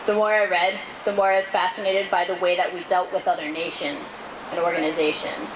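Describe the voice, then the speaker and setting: A woman giving a measured, earnest reading of prepared testimony aloud at an outdoor rally. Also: lowish voice, little pitch movement. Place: outdoors at a city street rally. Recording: noisy outdoor street recording.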